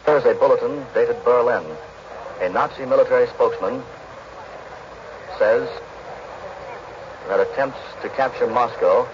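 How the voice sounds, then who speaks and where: A man's voice: mid-range voice, unhurried, long pauses. A man with an American accent announcing live on 1940s radio news. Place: live at the House chamber. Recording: noisy muffled 1940s radio recording.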